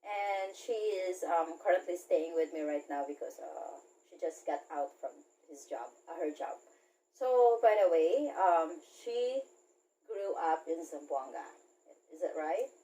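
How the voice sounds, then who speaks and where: A woman's voice: deep voice, expressive, measured pace, mellow, rough recording. A woman of thirty from the Philippines with a Filipino accent, chatting expressively as she hosts a casual video interview. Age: 30s. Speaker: talk show host, interviewer.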